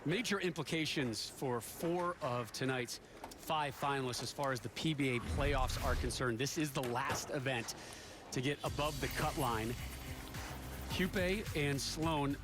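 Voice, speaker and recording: A man's voice: on the high side, measured pace, music in the background. A man doing play-by-play commentary for a televised bowling match, upbeat and joking as he tells stories between shots.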